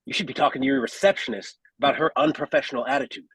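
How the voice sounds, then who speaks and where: A man playing an irritated, sarcastic unemployed man with a husky voice, indignant and exasperated in a script read. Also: mid-range voice, racing, fairly bright tone, dry recording, low-quality recording. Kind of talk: table read of a horror script.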